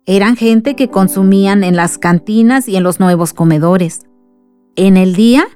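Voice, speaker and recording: An actress with a Mexican accent playing a thoughtful village aunt, narrating earnestly in a radio drama. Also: female voice, mid-range voice, a little mellow, lightly acted. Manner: earnest, storytelling. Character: thoughtful village aunt recounting the town's past. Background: music.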